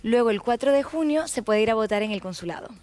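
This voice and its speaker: A woman's voice: a little high, animated, fast talker, darkish tone, faint music behind. A woman from the Dominican Republic with a Caribbean Spanish accent slightly tinged with Argentine, explaining her points articulately as a political interview guest and at times searching for words.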